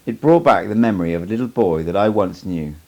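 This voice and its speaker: Deep, gravelly male audiobook narrator. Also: lively intonation, fast talker, a little mellow, highs cut off. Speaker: amateur audiobook reader. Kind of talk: scripted audiobook narration, first-person memoir.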